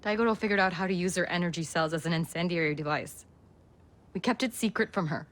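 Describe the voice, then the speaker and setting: A woman's voice: on the low side, fast, close to an everyday voice. An actress playing a regretful woman rebel in a video game cutscene, defensive as she confesses. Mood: confessing.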